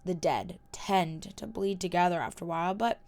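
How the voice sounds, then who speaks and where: A woman voicing a cold, taunting young male wizard in a story reading, speaking with quiet, measured menace. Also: fairly low pitch, close to an everyday voice. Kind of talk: reading a fan story aloud.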